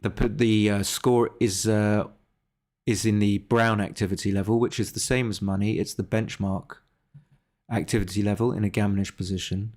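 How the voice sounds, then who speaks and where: Male game streamer, lowish voice, casual and hesitant, reasoning through plays aloud. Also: steady tone, moderate pace, darkish tone. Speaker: livestreamer analysing his backgammon games. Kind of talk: casual live game commentary.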